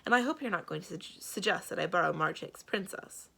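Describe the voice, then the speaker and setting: A woman voicing a headstrong, capable young princess in a storybook, brisk and self-assured, quick to retort. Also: on the low side, animated, vocal fry, bright, forceful tone, husky, heavily acted. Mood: frank, amused. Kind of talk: storybook read-aloud.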